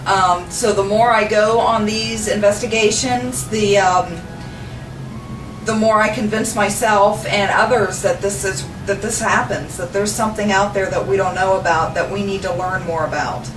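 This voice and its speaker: A woman in her forties with an American accent, giving a casual, somewhat halting testimonial to camera. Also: medium pitch, steady tone, over music. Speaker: paranormal group member. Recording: echoey room, distant mic, clear noise.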